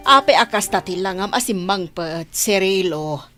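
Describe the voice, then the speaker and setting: A woman's voice: mid-range voice, expressive, unhurried, bright, forceful tone, faint music behind. A woman playing a chatty, opinionated middle-aged village mother with an Ilocano accent in a comedy radio drama. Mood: teasing, fussy.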